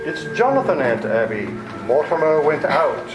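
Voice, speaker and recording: An actor playing a menacing, proud murderer in an old-time radio play, with a deliberate, emphatic delivery. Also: male voice, a little high, very animated, loud music behind the voice. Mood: coldly matter-of-fact. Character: menacing, proud male murderer, cold and boastful. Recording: roomy, slightly noisy amateur recording.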